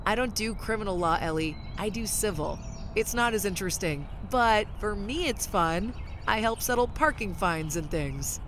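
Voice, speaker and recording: A woman's voice: medium pitch, animated, normal speed, fairly bright tone, a little hiss or hum, natural voice. A woman playing a chatty, caring young lawyer in an amateur audio drama. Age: young adult.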